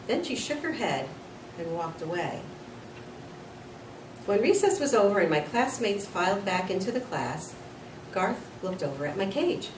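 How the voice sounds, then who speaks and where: A woman reads a children's story aloud with a lively, varied pitch. She is expressive and a little informal. The recording is roomy, slightly off the mic. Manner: expressive, with small slips and corrections. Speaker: school nurse reading to children. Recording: roomy, distant mic with faint noise.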